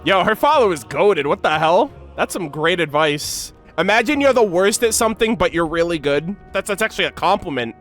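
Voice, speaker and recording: A woman's voice: lowish voice, animated, fairly quick, hardly any pauses. A woman giving casual, effusive live reaction commentary as a game streamer, slangy and playfully emphatic. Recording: background music.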